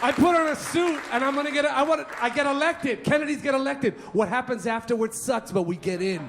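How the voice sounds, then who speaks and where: Loud high-voiced stand-up comic, live crowd. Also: male voice, a little fast, background noise. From Colombia. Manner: loud, animated, switching into Spanish. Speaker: stand-up comedian. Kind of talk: stand-up comedy set. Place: comedy club stage. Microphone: handheld stage mic. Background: audience laughter.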